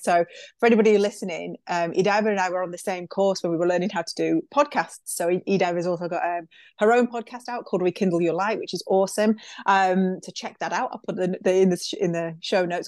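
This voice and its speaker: A woman's voice: fairly low pitch, fairly even intonation, fast talker, darkish tone, no room sound, studio quality. A woman hosting a podcast interview, chatty and enthusiastic, full of praise for her guest. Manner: gushing and enthusiastic, chatty with fillers. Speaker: podcast host. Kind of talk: casual interview chat.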